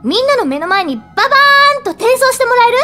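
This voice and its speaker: An eager, easily sulky schoolgirl in an anime drama CD. Her voice is extremely high, cute and expressive. Light music plays in the background. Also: fast talker, exaggerated voice. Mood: excited.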